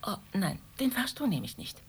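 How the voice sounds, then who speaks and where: An actress playing an anxious, chatty, apologetic middle-aged shopkeeper in a radio drama, rambling on politely and breathily. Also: female voice, medium pitch, sing-song, normal speed, vocal fry, slight background noise. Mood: fretful, chatty.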